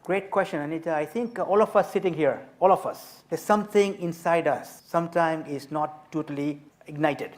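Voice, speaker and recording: A man in his fifties, from Tanzania, telling his story as an author and speaker on a festival panel, measured and emphatic. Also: a little high. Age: 50s.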